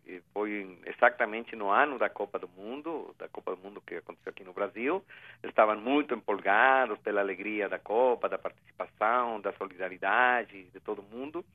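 A man giving a phone interview, speaking earnestly with many hesitations and restarts. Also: lowish voice. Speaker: interviewee, refugee agency representative. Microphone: telephone. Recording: tinny phone line.

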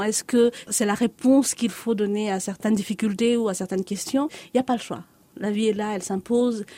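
Warm, reflective woman in her thirties. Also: mid-range voice. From Congo-Kinshasa. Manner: measured, reflective, builds on repeated phrases. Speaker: artist, interview guest. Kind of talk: reflective interview answers.

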